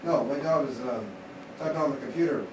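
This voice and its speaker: A man's voice: mid-range voice, fairly quick, close to an everyday voice. A man voicing a modest, polite puppet man, giving simple, hesitant, brief answers in a puppet show. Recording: roomy, distant mic with clear noise.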